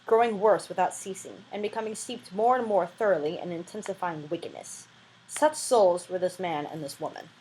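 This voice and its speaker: A woman's voice: mid-range voice, darkish tone, slight background noise, poor audio. A woman reading a classic novel aloud as an amateur audiobook reader, with a dramatic, emphatic delivery and an informal touch.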